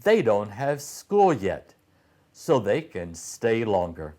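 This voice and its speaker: A man's voice: on the low side, big pitch swings, slow, a little mellow. A man voicing a friendly, reassuring companion in a children's storybook, explaining things simply.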